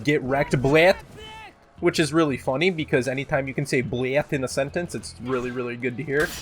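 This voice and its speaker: A man casually hosting a gaming countdown video, talking in a joking, exaggerating way with some swearing. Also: mid-range voice. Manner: belts out game voice lines. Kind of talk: casual top-ten countdown commentary.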